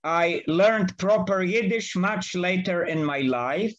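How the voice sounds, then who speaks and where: A man in his eighties with a Polish accent, a native Polish speaker, recalling the past slowly and carefully on a video call from his home study. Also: high voice, fairly bright tone. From Poland. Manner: slow, careful, halting delivery. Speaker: guest speaker. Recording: dull lo-fi video call audio.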